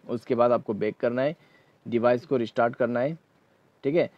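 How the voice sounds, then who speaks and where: A man's voice: mid-range voice, fairly even intonation, moderate pace, no room sound, muffled recording. A man hosting a step-by-step phone tutorial in an instructional manner, often checking in as he goes. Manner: instructional, repeatedly checking in with "okay". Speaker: tech tutorial host.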